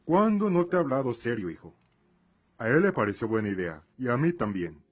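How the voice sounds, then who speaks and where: An actor playing a proud, devout middle-aged lord and father in a fantasy radio drama, speaking in a measured, formal, earnest way. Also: medium pitch, big pitch swings, highs cut off. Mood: serious.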